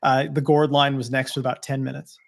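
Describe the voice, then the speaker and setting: A man's voice: medium pitch, flat delivery, a little fast, vocal fry. A man co-hosting a sports show, discussing things in a casual, hedging way. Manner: hedging, conversational. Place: indoor room, video call.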